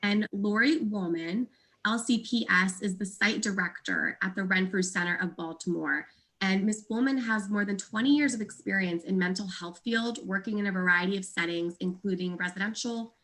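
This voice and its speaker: A woman's voice: medium pitch, pushed and bright, poor audio. A woman moderating a webinar, welcoming attendees with enthusiasm and introducing the speakers. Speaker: event moderator.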